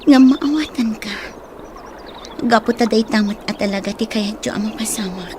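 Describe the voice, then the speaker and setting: A woman's voice: a little high, moderate pace, darkish tone, slight background noise, natural voice. A woman playing an affectionate young sweetheart in an Ilocano-accented radio drama. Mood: tender, breathy. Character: Sinay, affectionate young woman courted by two men. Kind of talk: Philippine radio drama acting.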